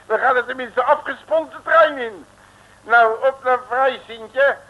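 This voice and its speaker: A man's voice: very high-pitched, fairly even intonation, slow, pushed and bright, muffled recording, full character voice. A man playing a brash, grumbling, wisecracking private detective with slangy, speech in an old radio serial. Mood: cheerful. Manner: slangy, sardonic, folksy. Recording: noisy old radio recording.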